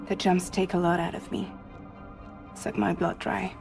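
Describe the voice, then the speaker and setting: An actress playing a weary, determined woman courier in a video game, speaking in intense, deliberate, dramatic phrases. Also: fairly low pitch, little pitch movement, creaky. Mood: explaining, weary. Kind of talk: cinematic game acting. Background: music.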